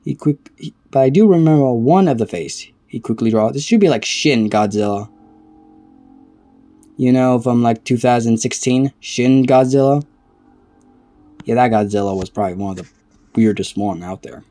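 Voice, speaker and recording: A man giving casual reaction commentary, reading aloud haltingly and stumbling over words between offhand remarks. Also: medium pitch, dark tone, faint music behind. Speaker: reaction video creator.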